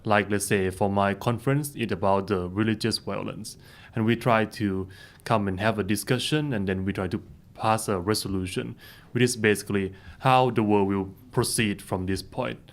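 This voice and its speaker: A young man from Thailand, a student guest on a podcast, explaining things plainly and patiently. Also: fairly low pitch. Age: young adult. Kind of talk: casual interview answers. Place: small podcast recording room. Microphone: studio microphone.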